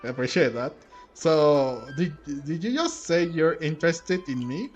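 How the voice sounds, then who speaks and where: A man's voice: fairly high pitch, lively intonation, unhurried, lo-fi sound, soft background music. A man doing casual, playful let's-play commentary, reacting aloud and reading game dialogue. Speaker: game streamer.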